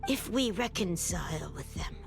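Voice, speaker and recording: A woman's voice: fairly low pitch, expressive, moderate pace, vocal fry, music in the background. A woman playing a grave islander in a video game, solemn and imploring. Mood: grave, pleading. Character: grave, pleading female islander opposed to peace. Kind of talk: scripted video game voice acting.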